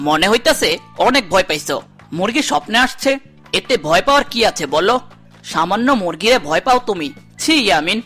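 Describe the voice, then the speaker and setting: A bright young female voice, acted by a woman in a children's cartoon, with a Bangladeshi accent and faint music behind. She coaxes and reassures like a caring guardian, teasing gently. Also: mid-range voice, animated, brisk. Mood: puzzled, teasing.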